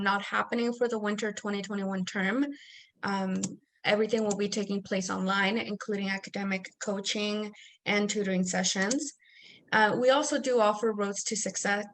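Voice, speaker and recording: A young woman from Mexico, a native Spanish speaker, giving an online workshop as an academic coach in a friendly, slightly halting way. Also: medium pitch, fairly bright tone, slight background noise. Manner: friendly, lightly halting with fillers.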